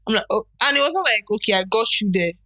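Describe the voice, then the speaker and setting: Bright, sassy young woman. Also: a little high, lively intonation, racing, dry recording, clean studio sound. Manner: sassy, teasing. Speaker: podcast co-host. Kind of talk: casual banter.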